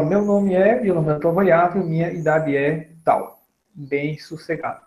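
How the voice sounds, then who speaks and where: A man in his thirties teaching programming on a screen recording, relaxed and chatty, often checking in with his listeners. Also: high voice, reverberant, low-quality recording. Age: 30s. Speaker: programming instructor. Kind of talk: screen-recorded coding tutorial.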